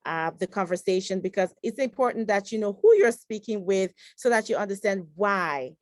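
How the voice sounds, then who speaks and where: A woman from Nigeria, a physician and professor, whose first language is Igbo, speaking as a guest in an earnest, articulate, measured way with emphatic stresses. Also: mid-range voice, dry recording, clean studio sound. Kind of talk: interview on a talk show.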